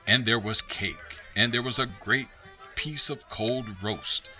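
A man narrating a radio play as scripted dramatic storytelling, expressive and theatrical. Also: medium pitch, slow, soft background music.

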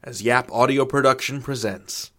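A man's voice: medium pitch, normal speed, sharp tone. A man hosting an audio drama podcast, delivering a scripted introduction with theatrical, suspenseful phrasing. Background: music.

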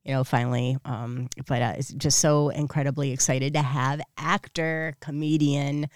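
A woman's voice: very deep voice, little pitch movement, normal speed, darkish tone, no room sound, studio quality. A woman from Flint, Michigan, co-hosting a podcast in casual, gushing chat full of restarts. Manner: rambling, gushing, with many restarts. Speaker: podcast co-host.

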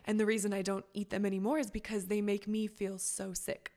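A woman hosting a podcast, chatty and asking questions from her own experience, with her voice going hoarse. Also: mid-range voice, little pitch movement, a little fast, warm tone. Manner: chatty, asks personal questions. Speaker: podcast host. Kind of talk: casual interview chat.

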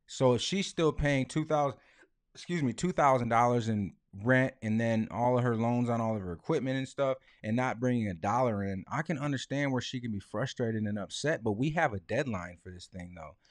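A man's voice: medium pitch, brisk, no echo, studio quality. A man in his late thirties chatting casually as a podcast co-host, blunt and emphatic, with slang and swearing. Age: late 30s.

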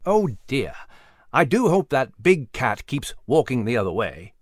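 A man's voice: mid-range voice, big pitch swings, unhurried, dry recording, studio quality. A man doing a prim, polite mouse. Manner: formal, polite, slightly fussy. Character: small polite male mouse, fussy and proper.

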